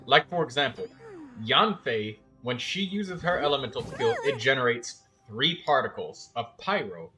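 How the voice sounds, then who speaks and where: A man giving casual, explanatory game commentary as a streamer, halting now and then as he thinks. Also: medium pitch, sing-song, music in the background.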